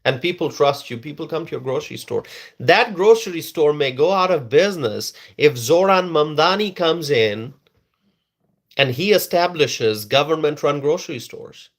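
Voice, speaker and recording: A man's voice: a little high, lively intonation, moderate pace. A man whose first language is Bengali, giving an emphatic political opinion monologue and repeating words for stress. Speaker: political commentator.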